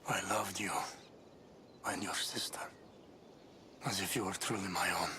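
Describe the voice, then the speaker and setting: A man's voice: deep voice, fairly even intonation, unhurried, background noise. An actor playing a proud, stern middle-aged Spartan warrior father in a dramatic video game, grave, formal and commanding. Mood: regretful.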